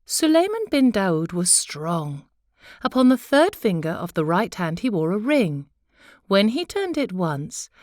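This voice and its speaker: A woman tells a children's tale in a medium-pitched voice. Her phrasing is deliberate and theatrical, like a practised storyteller, and the recording is dry with little room sound. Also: no room sound. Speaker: storyteller, professional voice artist. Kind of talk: expressive children's story narration.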